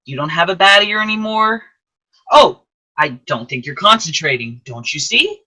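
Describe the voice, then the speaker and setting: Actor doing a chirpy eager guardian angel in a radio play, really bright, swoops all over. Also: mid-range voice. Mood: excited, explaining. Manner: animated, emphatic, deliberate. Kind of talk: old-time radio play acting with narration to listeners.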